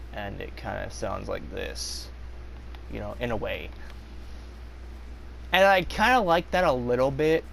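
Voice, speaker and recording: A young man vlogging in a casual, confessional monologue, rambling with many fillers and restarts, his voice slightly raspy. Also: mid-range voice, a little hiss or hum. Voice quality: slightly raspy when talking long.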